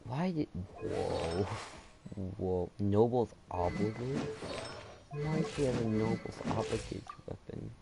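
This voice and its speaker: A young man giving casual, halting gameplay commentary, muttering his reactions as he goes. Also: lowish voice, expressive, creaky, loud music behind the voice. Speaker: gamer, channel host. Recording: loud game noise behind.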